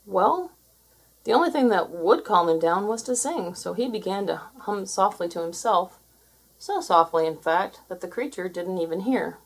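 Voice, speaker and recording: A woman reading a children's storybook aloud as an expressive, scripted narration. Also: mid-range voice, normal speed, husky, low-quality recording. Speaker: storybook reader.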